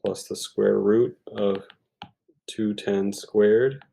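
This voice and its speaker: A man's voice: fairly low pitch, level tone, extremely slow, creaky, dark tone, breathy edge. A man tutoring math, talking through a problem step by step in an informal way, thinking aloud with hesitations and self-corrections.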